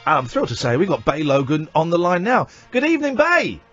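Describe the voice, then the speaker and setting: Excitable high-voiced British male radio host. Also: brisk, no room sound. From United Kingdom. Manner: excitable, blokey, bantering. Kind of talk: live radio interview, casual chat. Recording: muffled lo-fi radio recording with music.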